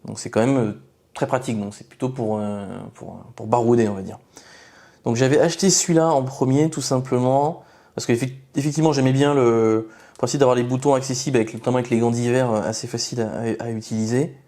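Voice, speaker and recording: A man giving an informal product review, explaining things haltingly and often rephrasing mid-sentence. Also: mid-range voice, steady tone, mellow. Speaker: motorcycle gear reviewer.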